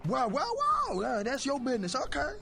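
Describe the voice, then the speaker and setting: Sleazy street fence in a game, high and slick, streetwise sales patter. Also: male voice, huge pitch swings, moderate pace, vocal fry, sharp tone, soft background music, heavily acted. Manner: slangy streetwise hustler patter. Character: fast-talking sleazy heavyset male street fence. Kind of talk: video game character dialogue.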